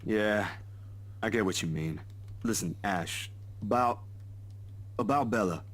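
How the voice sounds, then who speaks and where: Low-voiced, easygoing young man. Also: takes it slowly, fairly bright tone. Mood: apologetic, serious. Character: sleepy young man, Zach, easygoing, concerned friend. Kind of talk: visual novel voice acting.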